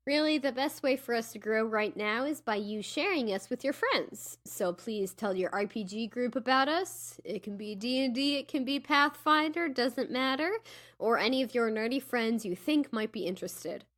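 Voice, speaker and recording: A woman's voice: fairly high pitch, animated, moderate pace, fairly bright tone. A woman chatting casually and enthusiastically as a podcast host, with emphatic delivery. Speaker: podcast host and player in a tabletop game. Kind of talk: casual banter on a tabletop game podcast.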